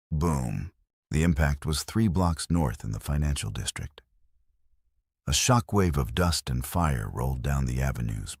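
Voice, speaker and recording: A man's voice: a bass voice, darkish tone, slightly rough, no echo, clean studio sound. A man giving a solemn, scripted dramatic narration of a fiction story. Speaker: audio novel narrator.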